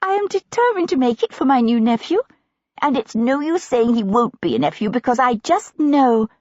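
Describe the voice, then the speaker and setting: A woman's voice: on the high side, very animated, moderate pace, darkish tone, dry recording, dull audio, voice pushed up. A woman doing an eager, gushing young lady. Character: Lady Foley's eager, flustered younger sister.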